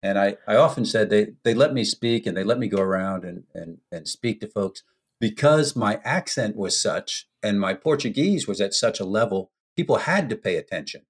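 An American man, a podcast guest, telling stories in an unhurried, anecdotal way with frequent ums. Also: lowish voice, lively intonation, husky. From United States. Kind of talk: storytelling in a podcast interview.

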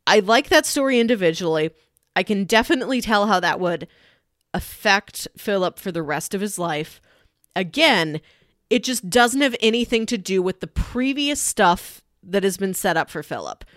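A woman's voice: medium pitch, lively intonation, moderate pace, fairly bright tone, dry recording, studio quality. A woman casually discussing a movie as a podcast host, talking through her opinions.

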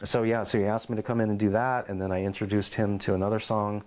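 A middle-aged man in an oral history interview at his home, telling lively stories and acting out quotes. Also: on the low side, quick pace. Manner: animated storyteller, acts out quotes and meows.

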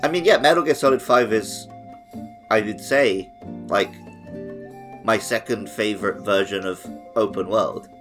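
A man's voice: fairly low pitch, a little slow, pauses often, music in the background. A man co-hosting a podcast in casual, conversational gaming chat. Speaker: podcast co-host.

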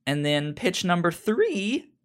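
A man chats casually as a podcast host, taking his time over the words. His pitch moves around a lot, and he often catches on syllables and repeats them before moving on. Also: sing-song, slow pace. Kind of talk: casual unscripted podcast chat.

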